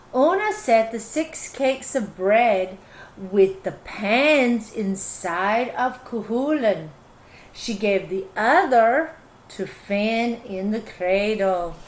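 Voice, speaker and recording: A woman reads a storybook to children in a fairly high voice. She goes slowly and expressively, pausing for simple teacherly asides. The room gives her voice a clear echo. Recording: echoey room.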